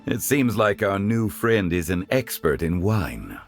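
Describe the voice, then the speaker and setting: An actor playing a refined, composed gentleman scholar who speaks formally and courteously in an anime game. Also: low voice, very animated, soft background music, heavily acted. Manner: formal, measured.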